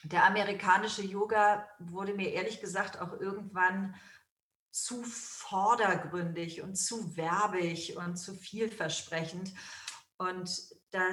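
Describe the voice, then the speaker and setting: Woman guest, low-pitched and reflective, off-mic in a roomy space. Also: a bit bright, distant mic. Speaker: guest, yoga school founder. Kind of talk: phone interview answers.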